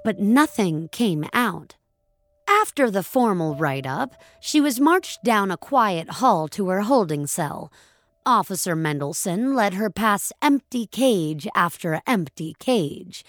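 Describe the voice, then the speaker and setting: Woman, fiction narrator, measured storytelling, unhurried pace, animated intonation, dry sound. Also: lowish voice, dry recording. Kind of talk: scripted dramatic audio fiction narration.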